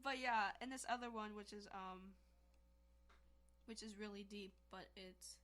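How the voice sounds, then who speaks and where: Young woman from Haiti, podcast co-host, animated and emphatic, casual chat, middle pitch. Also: a little slow. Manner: animated, emphatic, addresses listeners as y'all.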